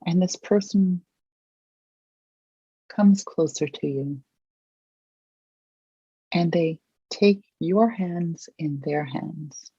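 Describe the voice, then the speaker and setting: Very slow, husky guided-visualization voice. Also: medium pitch, warm tone, no echo, lo-fi sound. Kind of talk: slow guided visualization, questions with long pauses.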